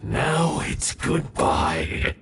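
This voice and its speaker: A man voicing a scheming, hot-tempered game villain with a gravelly, theatrical delivery. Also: lowish voice, sing-song, extremely slow, roomy sound, far from the mic, a little hiss or hum, heavily acted. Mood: gloating menace. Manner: theatrical, shouting when thwarted. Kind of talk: video game voice acting.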